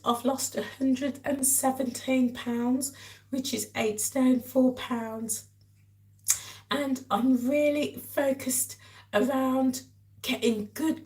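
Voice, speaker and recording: A woman with a hoarse, croaky voice from a cold, chatting casually to live viewers as a vlog host. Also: sits high, a little mellow, low-quality recording. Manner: chatty, addresses viewers directly. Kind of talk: casual live-stream chat.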